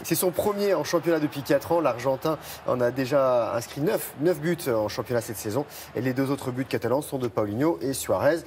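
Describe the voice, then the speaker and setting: A man's voice: mid-range voice, expressive, brisk, few pauses, a little mellow, slight background noise. A man presenting sports results on morning TV, stumbling over names and joking about it.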